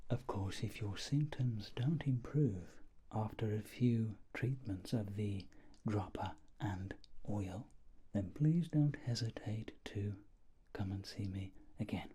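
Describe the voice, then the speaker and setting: A man plays a doctor in a whispered ASMR roleplay, speaking slowly and softly. His voice is mellow and breathy, slipping between whisper and low murmur, with a gentle, polite manner. There is faint noise in the recording. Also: animated. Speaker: ASMR performer playing a doctor.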